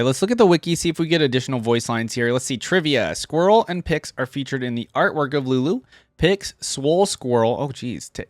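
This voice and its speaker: Male reaction host, casual and musing aloud, with a mid-pitched voice in a very dry room. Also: no echo. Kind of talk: casual commentary reacting to game voice lines.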